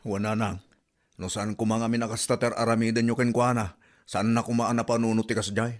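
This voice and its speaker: A man's voice: on the low side, level tone, fairly quick, lightly acted. A man playing an exasperated adult son who scolds his mother with reproachful questions in an amateur comedy audio drama.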